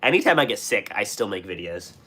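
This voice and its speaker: A man's voice: on the low side, big pitch swings, a little fast, bright, forceful tone. A young man with a US West Coast accent chatting casually on a livestream, rambling with fillers and restarts while sick with a cough. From Washington State. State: sick, coughing and clearing throat. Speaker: livestream host. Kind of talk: casual live chat answering viewers.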